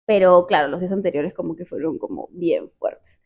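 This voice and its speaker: A woman from Peru with a Peruvian accent, telling a personal story in casual chat, animated and quick to repeat words. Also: on the low side, breathy edge, highs cut off. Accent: Peruvian Spanish.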